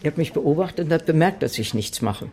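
An actress playing a lost, retired woman in an improvised radio drama, speaking in halting, deadpan, laconic replies. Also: sits low. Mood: flat, forlorn. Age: older.

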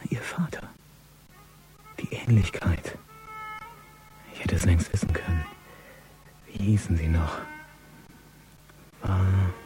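An actor playing a wary, edgy man on a stakeout, muttering a breathy inner monologue in a radio crime drama. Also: sits low. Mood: brooding, uneasy. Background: music.

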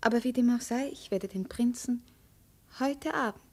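A woman playing an imperious, cool young princess in a 1950s radio play, speaking in a crisp, commanding, haughty manner. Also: fairly high pitch, normal speed, a little mellow. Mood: coolly composed.

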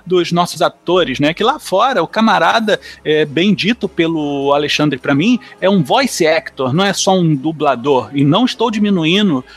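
A man with a Brazilian accent and a distinctly high voice talks casually on a podcast. He sounds enthusiastic and tends to ramble, looping into side remarks as he goes. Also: lively intonation, normal speed, hardly any pauses, breathy edge.

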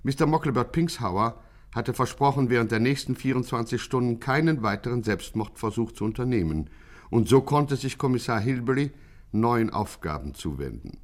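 Male narrator, medium pitch. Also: expressive, normal speed. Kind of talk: wry scripted radio play narration.

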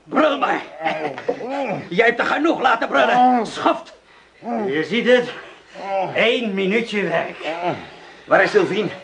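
An actor playing a vengeful farmer in a radio play, shouting orders and gloating over a captured man. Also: high-pitched, expressive, takes it slowly, creaky, long pauses, crowd noise, heavily acted.

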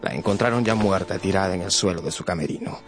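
An actor playing a worldly, cynical aristocratic gentleman in a radio drama, with a suave, patronizing manner. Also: deep voice, quick pace, over music, close to an everyday voice. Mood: cool, grave. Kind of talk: Spanish-language radio drama acting.

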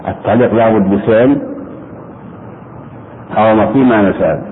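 A low-pitched man leads a grammar lesson in a didactic way, restating rules and quizzing his students. The sound is narrow and phone-like, with steady noise behind him. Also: fairly quick. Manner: didactic, repeats points and quizzes. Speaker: religious scholar teaching Arabic grammar to students. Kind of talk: interactive classroom lesson, questioning students.

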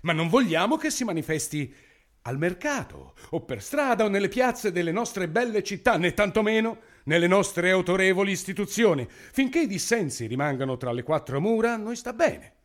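An actor playing a pompous, menacing authoritarian government minister in a satirical radio play, declaiming grandly with smug brutality. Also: male voice, high-pitched, big pitch swings, normal speed.

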